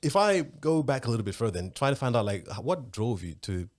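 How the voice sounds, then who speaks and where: A man's voice: mid-range voice, big pitch swings. A man hosting a podcast, chatting casually with his guest, with lots of fillers and restarts. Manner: fast-talking, filler-heavy, restarts often. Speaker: podcast host. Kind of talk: casual interview chat.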